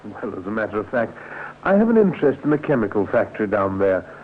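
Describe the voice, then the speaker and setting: An actor playing a polite, obliging gentleman chemist in a 1950s radio crime drama. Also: fairly low pitch, very animated, quick pace. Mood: obliging, smooth. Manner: polite, plausible, genteel. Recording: tinny, noisy 1950s radio recording.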